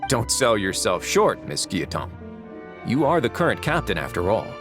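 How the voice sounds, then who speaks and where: An actor voicing a composed duke who runs a prison fortress, speaking in a calm, measured and courteous way. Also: male voice, lowish voice. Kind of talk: video game voice acting. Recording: soft game music behind.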